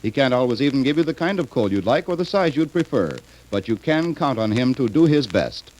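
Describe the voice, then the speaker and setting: A man's voice: medium pitch, very animated, a little fast, darkish tone. A North American man announcing in 1940s radio style, with a folksy, earnest sales delivery. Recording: faintly noisy 1940s radio recording.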